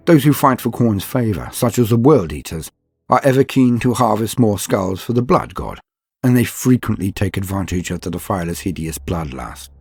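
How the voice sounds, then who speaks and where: A man's voice: fairly low pitch, darkish tone. A man reading scripted dramatic lore narration in a grave, formal and ominous storytelling manner. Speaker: narrator of a fantasy war-game lore guide. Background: music.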